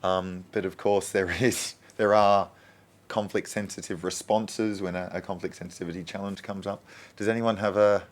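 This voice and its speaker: A man's voice: deep voice, steady tone, moderate pace. A man moderating a panel discussion, speaking hesitantly and restarting phrases.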